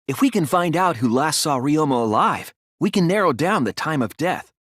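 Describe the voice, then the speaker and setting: A man voicing an earnest, soft-spoken teenage detective in a video game, reasoning carefully through a case. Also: fairly high pitch, animated, normal speed, no echo, studio quality. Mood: insistent. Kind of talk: video game voice acting, deductive exposition.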